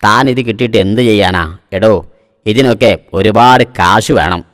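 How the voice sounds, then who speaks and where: Male actor as boastful cartoon computer expert, lowish pitch, exasperated, long reverb. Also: moderate pace, fairly bright tone, clean studio sound, lightly acted. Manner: pompous, boastful. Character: bragging, exasperated man acting the computer expert. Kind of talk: comic cartoon voice acting.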